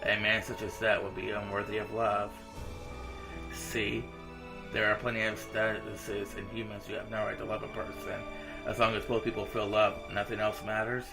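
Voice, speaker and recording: A man reads a visual novel aloud for a gaming video in a low, gravelly voice. His delivery stays fairly flat and informal, with game music playing behind him. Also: normal speed, rough recording. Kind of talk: reading visual novel dialogue aloud with casual reactions.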